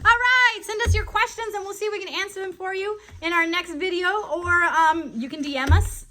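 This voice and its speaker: A woman's voice: extremely high pitch, a little fast, pushed and bright, faint music behind. A woman voicing a friendly, encouraging puppet host, chirpy and simple in wording, for a children's puppet show. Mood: friendly, upbeat. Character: friendly, encouraging puppet buddy hosting a kids' club.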